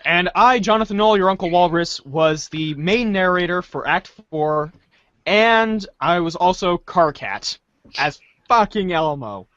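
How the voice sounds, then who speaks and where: Animated man narrating, dull dry recording. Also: high voice, measured pace, dry recording. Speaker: host and narrator. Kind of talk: fan readers' theater narration.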